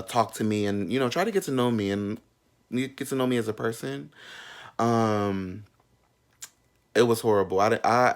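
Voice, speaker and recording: A man's voice: fairly low pitch, a little mellow, clear, resonant tone. A man in his thirties telling a personal story in a casual, sassy, slangy and candid way, with frequent asides. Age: 30s. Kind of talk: casual, animated storytime chat.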